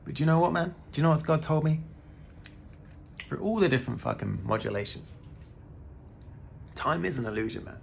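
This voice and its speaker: A man's voice: fairly high pitch, a little fast, gravelly, long pauses, slight background noise. A man in his thirties giving a casual, laid-back spiritual talk to the camera, often calling the listener "man" and swearing now and then. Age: 30s. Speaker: spiritual vlogger.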